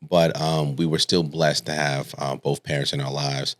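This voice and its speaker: A man from Connecticut speaks as a podcast guest in a very low voice. The sound is close and dry. He tells personal stories in a casual, colloquial way, often checking in with his listener. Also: little pitch movement, normal speed, fairly bright tone, no echo. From Stamford, Connecticut, United States. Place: studio.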